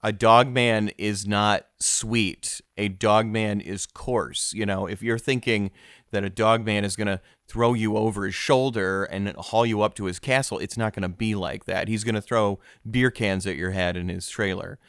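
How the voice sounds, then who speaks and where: A man's voice: fairly low pitch, steady tone, moderate pace, fairly bright tone, no room sound, studio quality. A man hosting a mock-serious comedy interview, hesitant and stammering, sounding awkwardly earnest. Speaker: podcast host.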